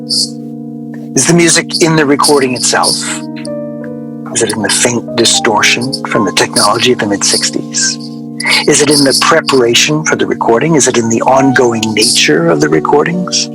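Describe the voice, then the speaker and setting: Male actor as wistful aggrieved man, mid-range voice, reflective interview-style reminiscence, measured delivery, radio drama, clear music underneath. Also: mid-range voice, close to an everyday voice, rough recording. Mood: searching, reflective. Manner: reflective, measured, rhetorical questioning. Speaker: interview guest. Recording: music playing behind the voice.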